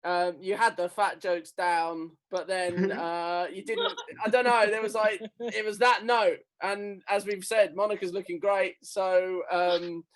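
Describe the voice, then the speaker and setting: A man gives his verdict as a comedy judge from home, relaxed and full of hesitations. His voice sits fairly high for a man. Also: a little slow. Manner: laid-back, hesitant, laughing. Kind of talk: casual chat. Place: home, on a video call.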